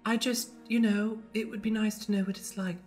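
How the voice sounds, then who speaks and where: A woman playing an excitable, flirtatious, flustered conspirator with a theatrical manner in an improvised radio play. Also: medium pitch, brisk, soft background music, close to an everyday voice. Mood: coy.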